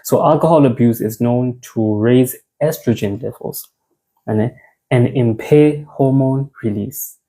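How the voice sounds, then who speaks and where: South African man, young adult, mellow tone, casual health talk, repeats and restarts words. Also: mid-range voice, a little slow, low-quality recording. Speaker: men's health presenter. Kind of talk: informal health talk, mixing in isiZulu words.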